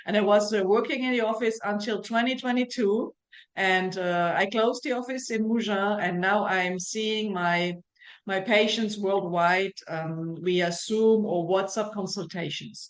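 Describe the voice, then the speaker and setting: A middle-aged woman with a German accent talks as a guest in a relaxed interview. She speaks with frequent hesitations and restarts at a mid pitch. She is a naturopath looking back on her path into the work. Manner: hesitant, many fillers and restarts. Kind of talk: casual interview conversation.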